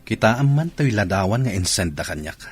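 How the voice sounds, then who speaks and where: An actor playing a gruff, evasive, two-timing soldier husband in an Ilocano radio drama, defensive and insistent. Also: male voice, on the low side, very animated, brisk, faint music behind. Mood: bitter.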